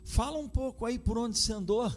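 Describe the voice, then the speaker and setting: A man's voice: extremely high pitch, brisk. Male pastor host, interviewer, chatty, affirms often. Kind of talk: conversational interview.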